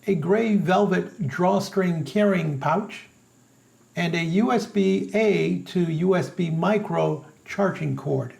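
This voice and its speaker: A man narrates a scripted product review. His voice sits high for a male speaker and has a clearly creaky, gravelly edge. He delivers it as a reviewer walking the listener through a product. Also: a little mellow.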